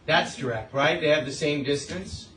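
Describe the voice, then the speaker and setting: A man's voice: a little high, moderate pace, pushed and bright, slight background noise, rough recording. A man teaching a class as a math teacher, explaining step by step and often asking questions to check understanding. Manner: asks frequent checking questions.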